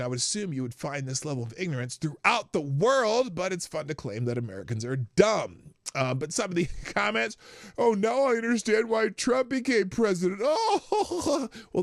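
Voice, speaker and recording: Dude hosting his stream, kinda high voice, swears, mutters while clicking around. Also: dry recording, studio quality. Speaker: live-stream host. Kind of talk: casual solo commentary.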